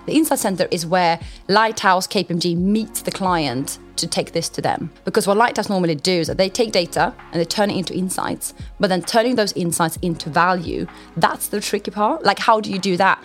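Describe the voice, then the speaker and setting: A young woman who heads a client center, talking in an animated, conversational way and laughing easily. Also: lowish voice, fairly quick, few pauses. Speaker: head of a client insight center. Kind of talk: recruitment video interview. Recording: soft music bed behind.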